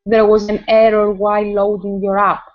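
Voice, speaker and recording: A woman's voice: mid-range voice, little pitch movement, normal speed, muffled recording. A woman from Greece with a Greek accent, chatting hesitantly on a video call with many restarts. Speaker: meeting participant. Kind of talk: casual video call chat.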